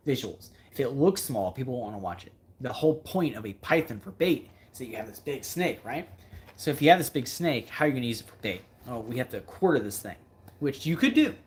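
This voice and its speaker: A male fishing creator talks casually to his livestream viewers in a husky, unpolished voice at a middle pitch. He rambles as he thinks things through out loud, and he often repeats a phrase to drive it home. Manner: rambling, thinking aloud, emphatic repetition. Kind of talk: casual livestream chat answering viewer comments.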